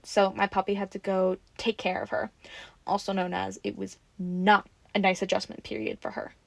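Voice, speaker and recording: A woman acting in an audio roleplay as a friendly, excitable young woman who rambles casually and gets playfully defensive. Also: mid-range voice, creaky, no echo.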